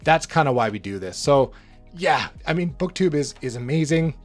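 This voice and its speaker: Rambling man, slightly hoarse. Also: fairly high pitch, moderate pace, faint music behind. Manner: relaxed, rambling, frequent restarts and fillers. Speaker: book channel host. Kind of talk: rambling casual monologue to camera.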